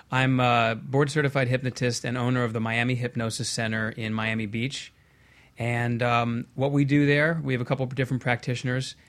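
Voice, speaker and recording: A man's voice: mid-range voice, fairly bright tone. A man speaking as an expert guest in a casual interview, articulate and explanatory. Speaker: hypnotist guest. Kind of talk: casual talk show interview.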